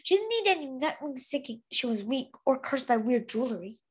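A woman's voice: high-pitched, big pitch swings, brisk, husky. A woman reading a fantasy novel aloud as an amateur, stumbling over words and correcting herself. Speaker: amateur book reader.

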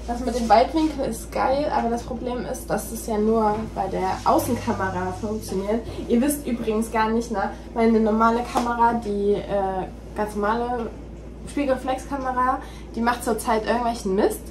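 A young woman in her twenties with a slightly high voice rambles on to her phone camera, full of little fillers. It sounds like a casual home vlog, recorded on the phone in a kitchen with plenty of noise in the background. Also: fairly even intonation, normal speed. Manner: chatty, rambling, many fillers. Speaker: vlogger. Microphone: phone camera built-in mic.

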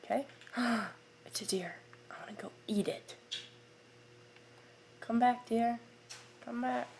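A young woman with a raspy, hoarse voice, just getting over a sore throat, chatting excitedly through a let's-play of a game she has never played. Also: on the high side, takes it slowly, slight background noise. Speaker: gaming YouTuber. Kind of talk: casual let's-play commentary.

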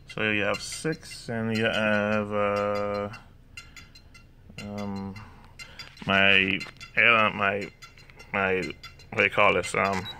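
A man with a Jamaican accent, speaking slowly and haltingly, often stopping to search for the word. His voice is low and gravelly but carries a bright, sharp edge. A faint hiss sits under the recording. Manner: hesitant, many fillers and restarts. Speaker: hobbyist demonstrating drone software. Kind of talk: casual screen walkthrough. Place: indoors, room with a laptop.

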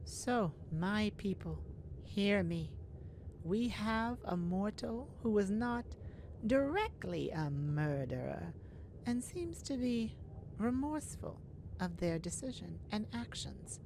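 A woman playing a stately, merciful mermaid leader in a fantasy audio roleplay, speaking in a formal, deliberate, regal manner. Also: mid-range voice, lively intonation, a little mellow, a little hiss or hum. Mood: solemn, commanding.

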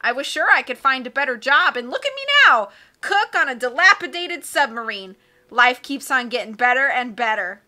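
A woman voicing a cheerful, optimistic male cook who speaks warmly and upbeat in a storybook reading. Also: high-pitched, big pitch swings, normal speed, exaggerated voice. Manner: warm, upbeat.

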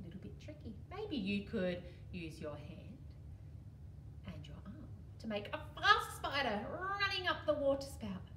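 A woman's voice: medium pitch, background noise. A woman presenting a children's singalong in an encouraging, sing-song way, recorded from a distant camera. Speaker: children's library storytime presenter. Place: indoor children's library corner. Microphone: far camera. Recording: roomy, distant camera, ukulele accompaniment. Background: ukulele music.

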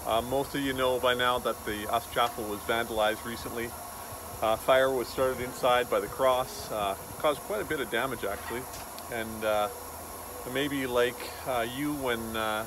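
Halting, earnest man over loud outdoor noise. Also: mid-range voice. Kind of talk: informal devotional message to camera. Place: outdoors beside a road and small wooden chapel. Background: loud outdoor ambience, passing vehicles.

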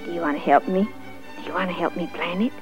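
A woman's voice: lowish voice, fast, close to an everyday voice. A woman voicing an eager, hardworking little red hen in a storybook, coaxing with simple repeated phrases. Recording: dull lo-fi sound with faint music.

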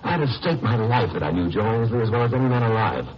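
An actor playing a steady, wry lawyer-sleuth hero in a 1950s radio crime drama. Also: male voice, mid-range voice, fast, lightly acted. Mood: earnest. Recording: muffled, noisy old radio recording.

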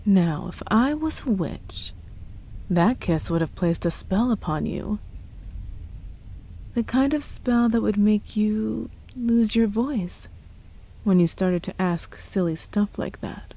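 A woman acts out a witch girlfriend in an audio roleplay. She speaks slowly at a medium female pitch. She is playful and coy, teasing with a possessive, sweetly menacing undertone. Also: mellow, rough recording. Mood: veiled menace. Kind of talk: ASMR roleplay monologue.

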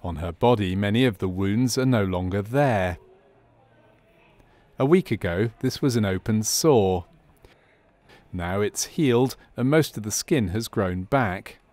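A man's voice: on the low side, unhurried, darkish tone, no echo. A man reading scripted narration for a medical training film.

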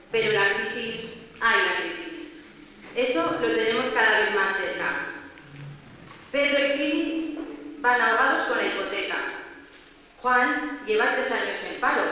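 A middle-aged woman reading a prayer at a church lectern in an earnest, measured way. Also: on the high side, pushed and bright, slight background noise. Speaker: lay reader. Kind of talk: read prayer at mass. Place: large church, indoors. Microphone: lectern mic, far camera. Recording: echoey church from far off.

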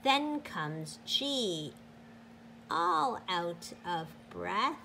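Very slow-paced woman reading a picture book aloud. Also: lowish voice, very animated, pushed and bright, a little hiss or hum, poor audio. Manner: slow, deliberate, sing-song with emphatic exclamations. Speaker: teacher reading aloud to children.

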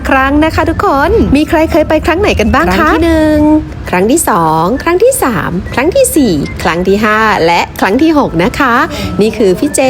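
A woman in her thirties, a mother, chatting to viewers in a polite, upbeat voice-over about a children's event. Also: a little high. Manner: chatty, addresses viewers as everyone, polite ending particles. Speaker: vlogger and mother. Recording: voice-over over loud background music. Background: background music.

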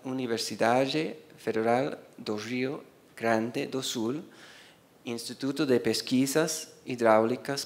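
A man in his forties who is not a native speaker, reading out award nominees from a panel table, hesitant with many fillers and restarts. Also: medium pitch. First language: not Spanish. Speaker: award presenter. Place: university auditorium, seated at a panel table. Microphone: desk microphone on a stand.

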